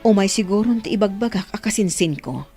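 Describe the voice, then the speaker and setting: A woman's voice: mid-range voice, animated, fairly quick, over music, natural voice. A middle-aged woman with an Ilocano accent acting an emotional village mother in a radio drama. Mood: thoughtful.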